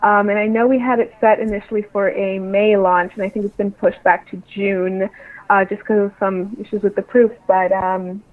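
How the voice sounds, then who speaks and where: A woman's voice: medium pitch, little pitch movement, normal speed, narrow, tinny audio. A woman answering interview questions as a publisher, explaining things casually over a remote call.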